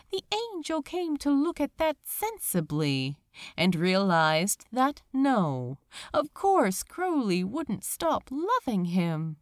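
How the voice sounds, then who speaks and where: A woman reading a story aloud as dramatic, measured, sorrowful narration. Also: mid-range voice, no room sound, clean studio sound. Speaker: fan fiction reader. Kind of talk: expressive scripted narration of a story.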